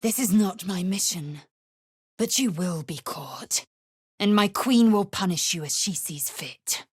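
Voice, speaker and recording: A woman playing a proud, fierce young female alien warrior in a video game, with a raspy voice and clipped, haughty, deliberate delivery. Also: lively intonation, no room sound, clean studio sound. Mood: scornful, haughty. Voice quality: raspy, husky, breathy when low. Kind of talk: video game character acting.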